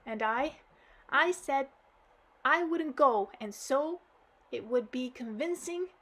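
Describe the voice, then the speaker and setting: A heartbroken little girl from a storybook wails and sobs through her story in a high, bright voice. A woman performs her while reading aloud. The delivery swoops up dramatically with each new grievance. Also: a little slow. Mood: sobbing. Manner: high, sobbing, wailing delivery.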